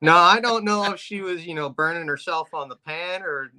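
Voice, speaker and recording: Pennsylvania man, co-host, deadpan joker, bright tone. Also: high-pitched, moderate pace, slight background noise, low-quality recording. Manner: joking, deadpan tall tales. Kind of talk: casual chat.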